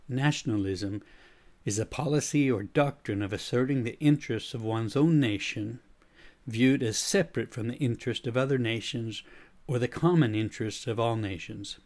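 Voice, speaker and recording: Middle-aged American man, slight Swedish accent, coaching talk, even mid voice. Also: mid-range voice. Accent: American with a slight Swedish tinge. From United States. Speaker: leadership coach. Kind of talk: scripted talk on change.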